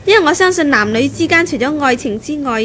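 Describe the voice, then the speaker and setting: An actress playing a proud, poised young graduate and fiancée who questions and asserts herself in a 1970s Cantonese radio drama. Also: female voice, sits high, expressive, a little fast, a bit bright, a little hiss or hum. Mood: probing, defensive. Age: young adult.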